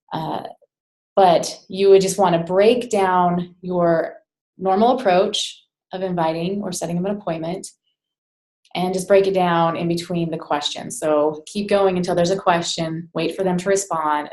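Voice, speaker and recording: Woman doing a casual training talk, kinda low voice, roomy echo, talks right to you. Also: steady tone, normal speed, darkish tone. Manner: conversational, direct address with rhetorical check-ins. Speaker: sales trainer. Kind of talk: informal instructional presentation. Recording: roomy, echoey sound.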